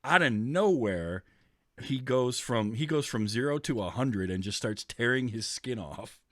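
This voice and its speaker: A man's voice: mid-range voice, lively intonation, a little slow, no echo, clean studio sound. A man talking casually about films as a podcast host. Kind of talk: casual movie discussion.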